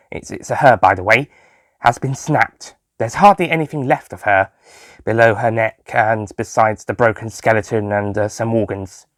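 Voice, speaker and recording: A man voicing a hesitant police detective inspector, halting and uneasy as he reports over the phone. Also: medium pitch, dry recording, clean studio sound, lightly acted.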